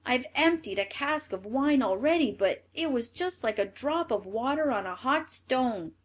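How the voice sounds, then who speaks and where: A woman voicing a miserable, thirsty man in a storybook, complaining in a drawn-out, plaintive way. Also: high-pitched, lively intonation. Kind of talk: storybook character voice.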